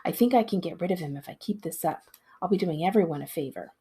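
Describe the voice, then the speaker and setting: A woman's voice: lowish voice, expressive, fast talker, warm tone. A woman reading a storybook as a cocky, stubborn kid who mocks people in a showy, exclaiming way. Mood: defiant. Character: cocky, stubborn, mocking kid narrator, loud and taunting. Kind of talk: storybook character read aloud.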